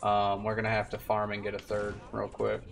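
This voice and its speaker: A man's voice: lowish voice, monotone, brisk, creaky. A man in his twenties giving casual commentary as he streams a video game. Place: indoor room at home. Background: game music.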